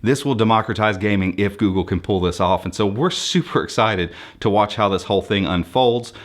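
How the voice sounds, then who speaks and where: A man's voice: on the low side, a little fast. An American man presenting for a tech channel, explaining things to viewers in an enthusiastic, conversational, unscripted way.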